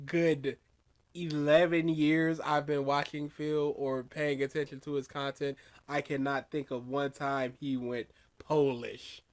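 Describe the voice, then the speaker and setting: A man's voice: fairly high pitch, flat delivery, a little slow. A man co-hosting a casual podcast, firing off incredulous rhetorical questions. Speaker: podcast co-host.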